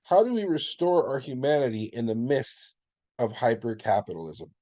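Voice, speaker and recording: A man moderates an online panel and reads each audience question slowly and with care. His voice sounds mellow and warm. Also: mid-range voice, big pitch swings. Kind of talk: reading audience questions on a video-call panel. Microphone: video call.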